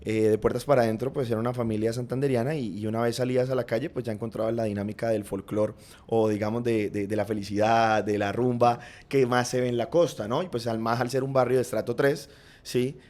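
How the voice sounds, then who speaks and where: A man with a Colombian accent, a podcast guest, reflectively recounting his family's past in an earnest, unhurried way. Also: mid-range voice, fairly even intonation, darkish tone. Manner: earnest, reflective, frequent "digamos" and "pues" fillers. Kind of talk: personal storytelling in conversation.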